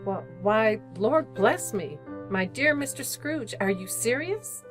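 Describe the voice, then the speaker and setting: A woman's voice: on the high side, lively intonation, slow, over music. A woman playing a genteel charity collector in an old-time radio drama, astonished, grateful and formally flustered.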